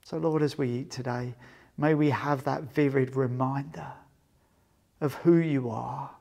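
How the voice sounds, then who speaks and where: A man in his forties, a pastor leading communion, speaking earnestly in short phrases with pauses and soft trailing endings. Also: medium pitch, gravelly, husky. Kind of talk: prayer and scripture reading for church service. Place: large empty indoor sports hall. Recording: echoey sports hall with long reverb.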